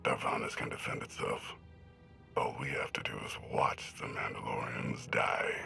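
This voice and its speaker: A man playing a cold, detached young emperor who speaks in a deep, gravelly near-whisper. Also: soft background music, full character voice. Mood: coldly amused. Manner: flat, menacing, slow. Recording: distant voice over game score.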